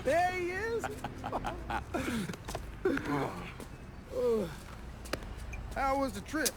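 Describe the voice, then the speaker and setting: A man's voice: extremely high pitch, big pitch swings, takes it slowly, exaggerated voice. An actor playing a cheeky, upbeat young man, bantering informally in a game cutscene. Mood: playful. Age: young adult. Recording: outdoor vehicle noise.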